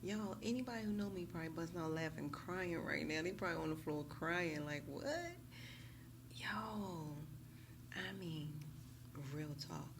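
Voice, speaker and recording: An American woman talking casually and emphatically to her viewers in a slangy, animated storytime style, often checking in with them. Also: low-pitched, normal speed, vocal fry, noisy background. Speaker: YouTube tarot reader.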